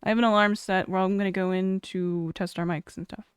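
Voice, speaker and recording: A woman's voice: medium pitch, fairly even intonation, a little fast, clean tone, no echo, studio quality. A young woman streamer chatting casually, reading chat aloud and laughing often.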